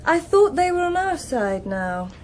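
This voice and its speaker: An actress playing a breezy, defiant young British daughter in a radio drama, flippant, evasive and offhand. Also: female voice, sits high, sing-song, unhurried, darkish tone. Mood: teasing. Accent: British English. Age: young adult.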